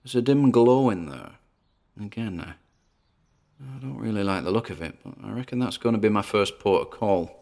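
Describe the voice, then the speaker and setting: A man's voice: on the low side, animated, moderate pace, vocal fry, darkish tone. A man with a British accent playing a wary, chatty paranormal investigator narrating his exploration in a podcast-style audio drama, with theatrical asides. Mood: uneasy, curious.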